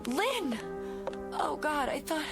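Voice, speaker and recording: A woman's voice: sits high, very animated, slow pace, creaky, loud music behind the voice, heavily acted. An actress playing an anxious, urgently questioning woman in a television psychological horror drama. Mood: frightened. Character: anxious grown woman piecing together a buried childhood memory.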